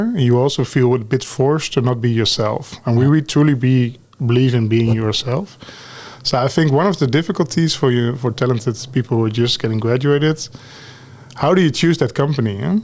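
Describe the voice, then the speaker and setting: A man's voice: mid-range voice, moderate pace. A man in his forties with a Dutch accent speaks easily, answering like a guest in a podcast interview. He often tucks a questioning "eh?" or "huh?" onto the end of a point. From Netherlands. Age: 40s. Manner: relaxed, tags sentences with "huh?" and "eh?". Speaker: guest, talent lead. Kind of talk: podcast conversation.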